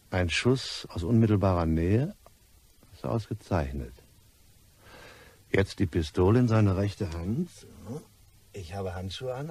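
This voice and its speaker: An actor playing a cold, cynical, calculating killer in a German crime radio play, with a husky voice and a sardonic manner. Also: male voice, sits low, dark tone, heavily acted. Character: cold, cynical male blackmail victim turned calculating killer.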